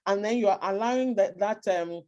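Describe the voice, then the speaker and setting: A woman's voice: medium pitch, normal speed, a little mellow, muffled recording. A woman with a Nigerian accent giving earnest, emphatic advice to parents and repeating her key points. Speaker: speaker advising parents. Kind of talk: advice talk to immigrant parents.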